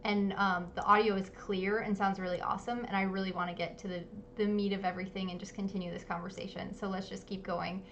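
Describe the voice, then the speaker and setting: A woman hosting a live-streamed artist interview, talking casually with lots of ums and quick apologies. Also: medium pitch, flat delivery, a bit bright, highs cut off, slight background noise. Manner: chatty, many ums, apologetic. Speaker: livestream interview host. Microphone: home streaming setup.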